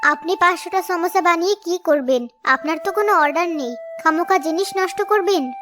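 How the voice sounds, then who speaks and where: Woman as a high-pitched scolding village wife. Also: fairly quick. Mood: reproachful. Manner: scolding, moralising. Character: disapproving, pious wife of a scheming villager. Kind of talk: animated moral-story cartoon dialogue. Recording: music behind the voice.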